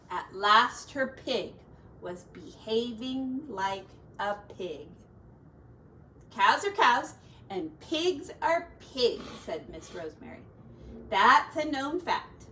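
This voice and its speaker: A woman's voice: a little high, sing-song, extremely slow, pauses often, pushed and bright, far from the mic, slight background noise. A woman teacher reading a storybook to children expressively, adding playful asides and drawing out the descriptive words for drama.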